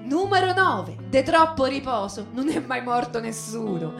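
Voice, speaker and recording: A woman playing a lazy old-time Roman, declaiming mock commandments loudly with a Roman accent on radio. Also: a little high, lively intonation, unhurried, creaky, pitched above the performer's own voice. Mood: mock-solemn. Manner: loud, mock-solemn declaiming. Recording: echoey voice with guitar music.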